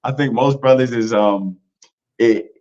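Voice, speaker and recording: A man's voice: mid-range voice, measured pace, a little mellow, highs cut off. A man in a casual podcast talk, loose and slangy, full of "like" and "you know". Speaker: podcast guest.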